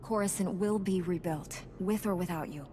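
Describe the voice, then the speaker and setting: A woman's voice: on the low side, normal speed, dark tone, close to an everyday voice. An actress playing a composed, principled female knight in a science fiction video game, earnest, formal and firm. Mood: stern. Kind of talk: dramatic video game acting. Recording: game audio with faint noise.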